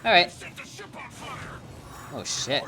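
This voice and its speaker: A woman's voice: very slow, bright, forceful tone, slight background noise. A woman streamer giving casual let's-play commentary, stammering and swearing freely as she reacts to the story. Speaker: gaming streamer.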